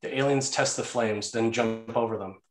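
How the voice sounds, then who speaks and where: A man's voice: mid-range voice, normal speed. A man narrating action and scene headings from a screenplay in a scripted read. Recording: roomy, echoey sound.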